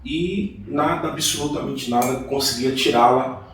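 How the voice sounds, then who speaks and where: Male pastor, earnest testimony, mid pitch; recorded off-mic in a very echoey room. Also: moderate pace, a bit bright, far from the mic, slight background noise. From Salvador, Bahia, Brazil. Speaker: guest pastor. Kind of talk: podcast interview, personal testimony.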